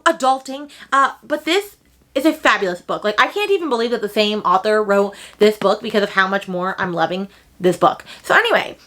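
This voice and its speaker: A young woman with a bright, forceful voice talks casually as a book vlogger. She gushes in slangy, emphatic phrases, often repeating herself to drive a point home. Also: on the high side. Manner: gushing, slangy, emphatic repetition. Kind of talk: casual enthusiastic chat to camera.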